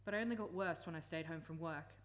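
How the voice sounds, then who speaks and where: Actress as earnest, persuasive low-voiced campaigner woman. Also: fast, lightly acted. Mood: earnest, sorrowful. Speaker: actor in a radio play. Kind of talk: live radio play acting.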